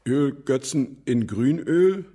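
A lonely older gentleman in a children's radio play. He talks slowly in a husky voice. He is grumpy yet hesitant. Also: medium pitch, expressive. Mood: hesitant, muddled.

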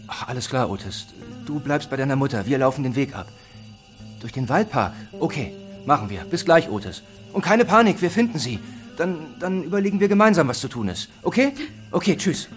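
A man acting a middle-aged husband and father in a radio play, weary and teasing but blunt and quick to take charge. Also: on the high side, very animated, faint music behind. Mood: urgent, reassuring.

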